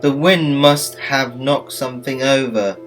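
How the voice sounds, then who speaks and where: A man's voice: medium pitch, fairly even intonation, unhurried, a bit bright, faint music behind, voice pushed up, lightly acted. A man voicing a confident, brave-faced steam engine in a children's storybook, with an assured, emphatic delivery. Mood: reassuring.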